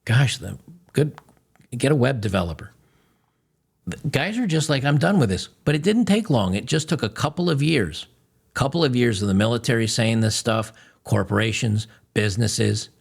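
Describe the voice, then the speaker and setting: A man's voice: medium pitch, lively intonation, moderate pace, creaky, slightly rough. A man hosting, giving blunt, mocking commentary on video clips. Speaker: host.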